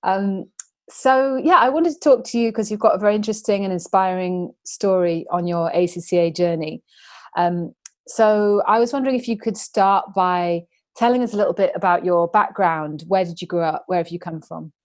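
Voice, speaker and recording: A woman acts as a podcast interviewer. Her voice sits at a middle pitch, and she talks conversationally with a guest over a video call. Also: fairly even intonation, normal speed.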